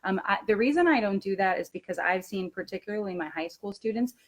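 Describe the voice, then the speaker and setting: A woman who teaches high school, co-presenting a webinar in an explanatory teacher-training style. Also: fast.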